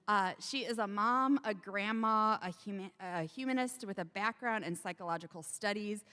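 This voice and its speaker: A woman's voice: medium pitch, moderate pace, bright, forceful tone, smooth. A woman introducing the next speaker at a church assembly, in a plain way, tripping over an unfamiliar name. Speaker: assembly presenter. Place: large church hall. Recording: long reverb of a large hall.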